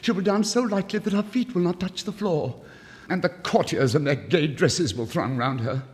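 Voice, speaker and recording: A man's voice: a little high, moderate pace, pitched above the performer's own voice, close to an everyday voice. A man playing a lovesick, despairing young student in a fairy tale, with melodramatic, lamenting 1950s storytelling delivery. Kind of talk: 1950s literary story record acting. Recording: vintage vinyl record, long reverb.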